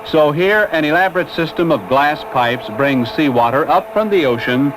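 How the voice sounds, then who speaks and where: A man narrating a scripted 1960s educational film in a formal, measured, expository manner. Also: a little high. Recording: noisy old 1960s film soundtrack.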